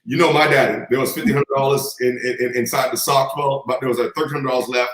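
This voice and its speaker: A man's voice: mid-range voice, fairly even intonation, quick pace, fairly bright tone, reverberant. A man from the Bahamas, a guest telling stories with confident, preacher-like cadence. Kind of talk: storytelling in a conversation.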